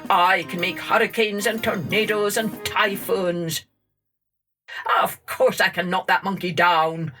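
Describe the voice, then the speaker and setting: A woman's voice: mid-range voice, steady tone, takes it slowly, soft background music. A woman voicing a boastful, teasing male wind spirit with a cocky, blustering manner in a children's storybook. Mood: cocky, confident. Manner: cocky, taunting, loud laughing bluster.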